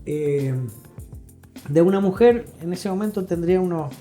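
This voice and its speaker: A middle-aged Argentine man with a mellow, dark voice speaks as an expert guest in an interview. He explains in a deliberate, emphatic way, often checking that he is followed, with music under his voice. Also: high-pitched. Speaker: guest expert, psychology professional.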